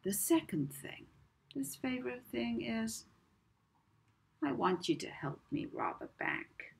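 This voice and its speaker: A woman voicing a sinister, manipulative male blackmailer in a children's audiobook, soft-spoken and lazy, with deliberate pauses. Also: on the high side, very animated, vocal fry, husky, voice pushed up, full character voice. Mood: lazily demanding.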